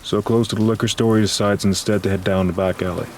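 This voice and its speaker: A man's voice: lowish voice, little pitch movement, fast, darkish tone, a little hiss or hum. A man reading scripted noir horror narration as a grave, dramatic storyteller. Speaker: narrator and voice actor. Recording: music and rain behind voice.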